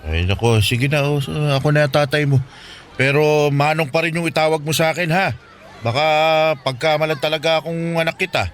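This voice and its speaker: A man's voice: on the high side, a little fast, lightly acted. A man with a Filipino accent playing a kindly, preachy older bachelor from the slums, a fatherly guardian in a radio drama. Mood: kindly, teasing. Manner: fatherly, admonishing, colloquial.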